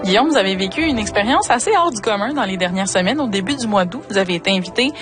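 A woman with a Quebec French accent, hosting a radio interview with a conversational, relaxed way of asking questions. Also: mid-range voice, fast, music in the background. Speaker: radio host.

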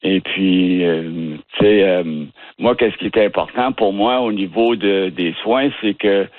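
A man from the Ottawa region with a Canadian French accent, a guest who talks haltingly and restarts words as he searches for them. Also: low-pitched, dry recording. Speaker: interview guest. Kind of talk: casual phone interview. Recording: tinny dry phone line.

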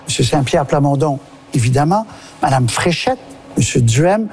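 A man with a Quebec French accent moderating a political debate, briskly keeping time and handing the floor to each speaker. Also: fairly high pitch, lively intonation, a little mellow, slightly rough. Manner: brisk, keeps time, hands the floor over.

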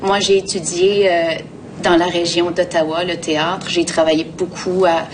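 A woman with a Canadian French accent gives conversational interview answers in a fairly low voice. She halts and repeats words as she searches. The mic is some way off and a faint rumble sits underneath. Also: little pitch movement, moderate pace, far from the mic. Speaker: theatre artistic director, interview guest.

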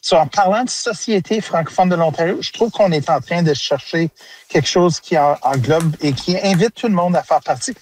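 A man's voice: a little high, moderate pace, low-quality recording. Man on a video call from a car. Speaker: guest, organization director. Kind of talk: interview answer by video call. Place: in a car on a highway.

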